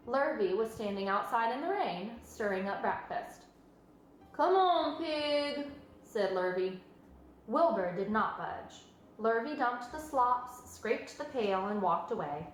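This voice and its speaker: A woman reading a children's book aloud as an expressive storyteller. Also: medium pitch. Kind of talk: expressive scripted narration. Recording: very echoey room with faint noise.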